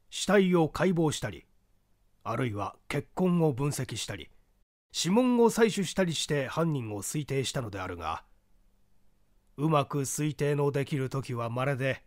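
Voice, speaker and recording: An opinionated forensic professor from a mystery audiobook, acted out by a man, holding forth emphatically. The voice sits a little high and moves dramatically up and down, captured dry and close. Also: no room sound. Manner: didactic, declamatory, emphatic.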